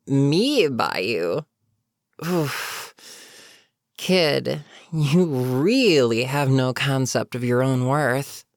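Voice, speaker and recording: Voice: mid-range voice, animated, very slow, no room sound, clean studio sound. A performer voicing a weary goblin captain in a roleplay audio, chatting casually and sardonically with a captive, amused yet businesslike. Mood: wry, matter-of-fact. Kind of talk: immersive character roleplay monologue, conversational.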